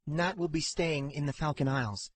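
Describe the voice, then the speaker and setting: Man voicing an earnest young woman, raised pitch. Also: moderate pace, no echo, close to an everyday voice. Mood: guarded. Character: earnest, persistent young woman, protective and burdened. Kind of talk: audiobook character dialogue.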